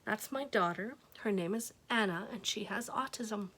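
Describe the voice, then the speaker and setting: A friendly mother patiently explaining things to curious children, voiced by a woman reading aloud. Her manner is calm and open. It is close to the reader's natural voice. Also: medium pitch, moderate pace, slightly rough.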